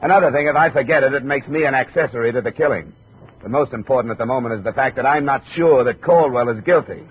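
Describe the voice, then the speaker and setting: An American actor playing a sardonic, skeptical private investigator in a 1950s hardboiled radio drama. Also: male voice, medium pitch, quick pace, breathy edge, close to an everyday voice. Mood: firm, sardonic. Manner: sardonic, probing. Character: wry, skeptical, tough male private investigator. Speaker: lead detective of the series. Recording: dull, noisy 1950s radio recording.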